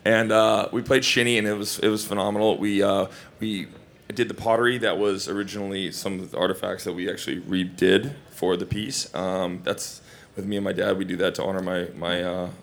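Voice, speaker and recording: A man from Hawaii, an actor, answering questions at a Q&A in loose, rambling, animated anecdotes. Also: sits low, brisk, gravelly. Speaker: actor and film guest at a Q&A. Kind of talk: casual Q&A storytelling.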